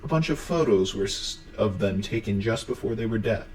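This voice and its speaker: A man's voice: on the low side, lively intonation, normal speed, a little mellow, slight background noise. A man narrating an explainer about a horror series in a scripted recap style. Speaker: video narrator.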